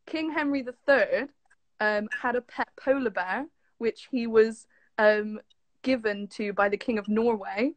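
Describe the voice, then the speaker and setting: A woman's voice: a little high, a little slow, dry recording. Giggly young English woman, dry sound. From southwest England. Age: young adult. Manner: giggly, informal. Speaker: guest, former camp staffer. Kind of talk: casual chat sharing fun facts.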